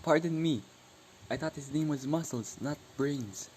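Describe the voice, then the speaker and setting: A man's voice: a little high, unhurried, a little mellow, slight background noise, lightly acted. A man acting a sarcastic, teasing teenage brother in an amateur radio play, with mocking delivery.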